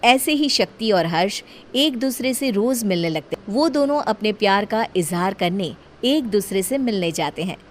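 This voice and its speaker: A woman narrating a cartoon horror story in a steady, plain storytelling manner. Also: medium pitch, normal speed, faint music behind.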